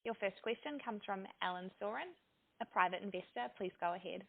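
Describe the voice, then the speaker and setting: A woman's voice: medium pitch, a little fast, vocal fry. A woman working as a conference call operator, reading scripted instructions and introducing callers. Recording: dull lo-fi conference line.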